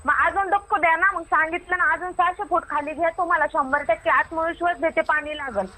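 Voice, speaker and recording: A woman's voice: extremely high pitch, steady tone, fast talker, sharp tone. A woman playing a stubborn, self-assured water diviner with a rural Maharashtrian Marathi accent, arguing insistently and repeating herself in a comic phone-call skit. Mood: heated, stubborn.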